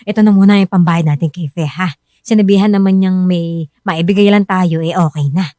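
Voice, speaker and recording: A woman's voice: fairly low pitch, a little fast, dark tone, no room sound, lightly acted. An actress in a radio drama playing a worried, supportive wife who pleads with and reassures her husband. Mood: earnest, placating.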